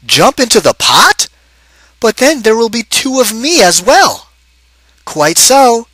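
A man reading a picture book aloud, voicing an older woman in a high, loud, shrill voice. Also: very animated, slow, no room sound, full character voice. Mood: surprised. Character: frantic old woman, Mrs. O'Grady.

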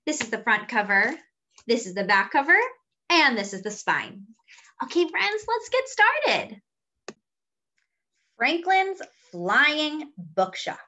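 A woman reading a picture book aloud to young children, with expressive, drawn-out delivery and chatty asides. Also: high-pitched.

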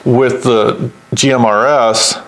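Halting, plain-spoken American man chatting. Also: mid-range voice, little pitch movement. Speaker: overlanding channel co-host. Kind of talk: casual unscripted chat to camera.

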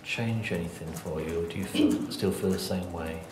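A man asking calm, careful questions in a formal hearing, with a gentle manner. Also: low voice, normal speed, reverberant, noisy background.

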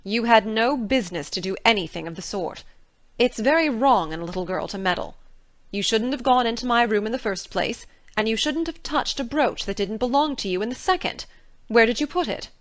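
A woman voicing a strict older female guardian with a sharp, scolding manner, read for an audiobook. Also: medium pitch, expressive, moderate pace, no room sound. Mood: stern. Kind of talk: audiobook character reading.

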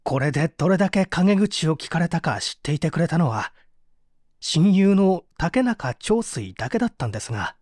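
A man's voice: fairly high pitch, very animated, normal speed, darkish tone, no echo, clean studio sound. An actor playing a bitter, defensive painter in his thirties under interrogation, aggrieved and self-justifying, in a radio drama. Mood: defensive, resentful. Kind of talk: dramatized mystery reading.

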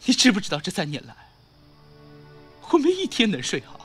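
A bitter, wounded young man in an audio drama, acted by a man, with a highish voice that swings widely in pitch. Music plays clearly behind him. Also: wildly swooping pitch, brisk, a bit bright, exaggerated voice. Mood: anguished, angry. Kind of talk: Chinese audio drama acting.

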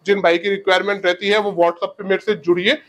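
A young man from India in a shoe showroom, giving a fast sales pitch on a lapel mic. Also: level tone, fairly bright tone. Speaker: shoe seller. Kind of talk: product showcase.

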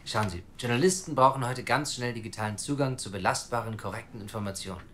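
An actor playing an earnest, self-assured journalist, articulate and persuasive, in a naturalistic audio drama. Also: male voice, mid-range voice, fast, a bit bright, a little hiss or hum, natural voice. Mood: confident, persuasive.

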